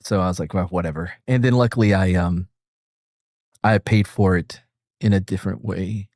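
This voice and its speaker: A man's voice: low-pitched, normal speed, darkish tone, dry recording, studio quality. A man hosting a casual group chat, joking and laughing often as he talks. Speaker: host.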